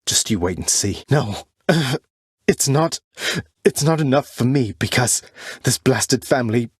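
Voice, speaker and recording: A man playing an earnest, defiant young prince of the underworld in a video game, speaking in formal, old-fashioned wording with halting, heartfelt delivery. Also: medium pitch, husky, no room sound, studio quality, natural voice. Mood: insistent, impassioned. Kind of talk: video game character dialogue, dramatic acting.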